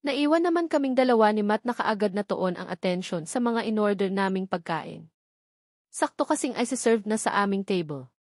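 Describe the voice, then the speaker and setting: A woman's voice: medium pitch, animated, normal speed, a little mellow, dry recording, clean studio sound. A woman with a Filipino accent reading scripted first-person story narration as an audiobook narrator.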